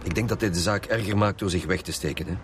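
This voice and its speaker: An actor playing a gruff, impatient police commissioner in a radio drama, brusque and authoritative, with a Flemish accent. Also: male voice, sits low, quick pace, background noise, lightly acted. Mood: firm.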